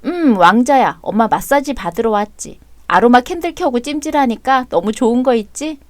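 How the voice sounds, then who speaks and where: A woman's voice: a little high, moderate pace, no room sound, close to an everyday voice. Woman as a cool blunt wife. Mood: relaxed. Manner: calm, mocking, blunt. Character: cool sharp-tongued mother and wife.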